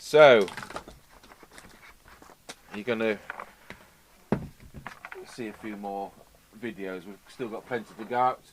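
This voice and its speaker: An English man with a fairly low voice chats through a hands-on demonstration. He is unscripted and rambling, often wandering into asides. A light hum and some noise sit behind him. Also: takes it slowly, long pauses, rough recording. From United Kingdom. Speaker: video host and radio repairer. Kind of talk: casual unscripted talk to camera while demonstrating. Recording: steady hum and background noise.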